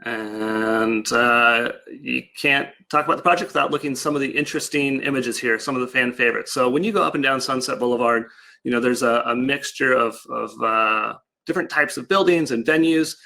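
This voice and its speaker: A man's voice: medium pitch, a little fast, vocal fry, sharp tone. A man giving an informal, loosely improvised online slide presentation in a casual, explanatory way. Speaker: project presenter.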